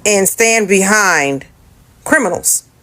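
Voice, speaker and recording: A woman's voice: mid-range voice, animated, takes it slowly, bright, forceful tone. A woman giving argumentative commentary in an insistent, lecturing way, tagging points with "okay?".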